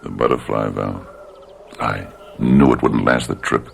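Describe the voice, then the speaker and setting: An actor playing a weary, sickly, timid husband worn down by work, halting and gravelly, in an old radio mystery drama. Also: male voice, extremely low pitch, fairly even intonation, heavily acted. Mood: defeated. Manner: hesitant, halting, defeated. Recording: noisy old radio recording.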